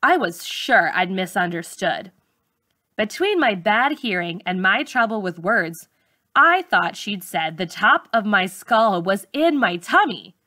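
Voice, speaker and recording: A woman reading a memoir aloud like an audiobook narrator, with measured, clearly phrased delivery. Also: mid-range voice, big pitch swings, sharp tone, dry recording, clean studio sound. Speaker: teacher reading a book aloud.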